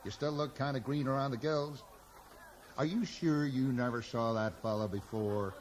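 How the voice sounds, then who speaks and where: A man's voice: mid-range voice, moderate pace, lightly acted. Folksy, hesitant American sheriff, played by a man, noisy recording. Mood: friendly, concerned. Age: middle-aged. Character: folksy, kindly middle-aged American small-town sheriff. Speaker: actor. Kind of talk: 1980s radio drama acting. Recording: noisy 1980s radio drama recording.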